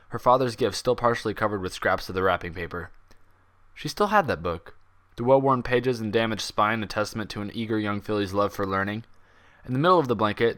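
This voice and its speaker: A man reading fan fiction as scripted story narration, with expressive, dramatic delivery. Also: on the low side.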